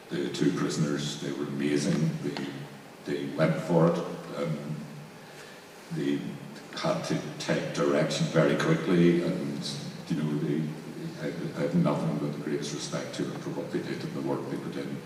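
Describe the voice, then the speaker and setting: An extremely deep-voiced man recounts things informally as a panelist, in measured short phrases with pauses. He is heard from a distance in a strongly reverberant hall. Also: darkish tone. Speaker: panelist from a theatre project. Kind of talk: informal spoken recollection to an audience. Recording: echoey hall, distant mic, faint noise.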